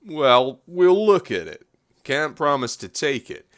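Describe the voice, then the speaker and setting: A man's voice: fairly high pitch, sing-song, slow pace, a bit bright, full character voice. An actor playing a worldly, businesslike male newspaper editor in a period audio drama, offhand and dismissive.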